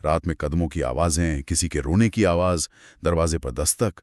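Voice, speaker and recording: A man's voice: very deep voice, moderate pace, darkish tone, no echo, clean studio sound. A man hosting a conversational horror storytelling show, narrating in dramatic, short suspenseful phrases. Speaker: host narrating horror stories.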